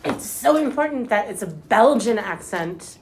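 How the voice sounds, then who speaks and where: A woman's voice: mid-range voice, animated, measured pace, darkish tone, slight background noise. A woman interviewing a guest in a casual conversation, chatty and eager with many filler words. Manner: chatty, eager, lots of "like" and "you know".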